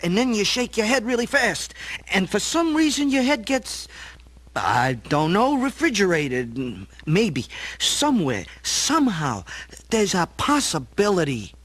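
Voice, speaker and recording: A man's voice: sits high, very animated, measured pace, fairly bright tone, dry recording, full character voice. A man playing a gullible, dreamy younger brother who works as a plumber, earnest and rambling, in a radio play. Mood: musing, earnest.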